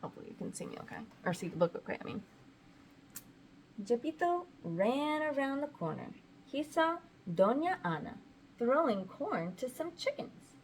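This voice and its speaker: Mom doing a kids read aloud, chatty n casual, voice goes up and down a lot, little bit of background noise. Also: female voice, high voice, big pitch swings, moderate pace, rough recording. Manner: chatty, informal asides to viewers. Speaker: read-aloud host and parent. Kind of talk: casual children's picture-book read-aloud.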